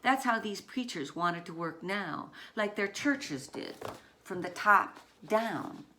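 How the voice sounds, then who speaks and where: A woman author reading her children's picture book aloud in deliberate short phrases, with dramatic emphasis on key words. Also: mid-range voice, animated, bright, forceful tone, a little hiss or hum.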